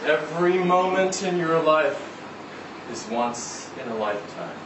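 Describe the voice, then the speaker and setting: Big gruff drifter played by a male storyteller, terse, cryptic, unhurried; roomy, noisy distant recording. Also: on the high side, expressive. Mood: musing. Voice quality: gruff, rough.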